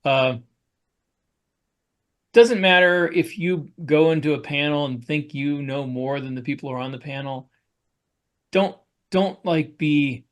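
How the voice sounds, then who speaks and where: A man co-hosting a podcast, casually handing out advice in a blunt, wisecracking way. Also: a little high. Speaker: author and podcast co-host. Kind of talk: casual advice-giving chat.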